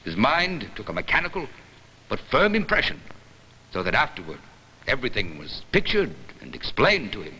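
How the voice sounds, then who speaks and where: A man giving a dramatic staged reading of literary prose, with declamatory, deliberate phrasing. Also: medium pitch, huge pitch swings, sharp tone, breathy edge. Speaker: actor, reader. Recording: noisy vintage 1960s recording.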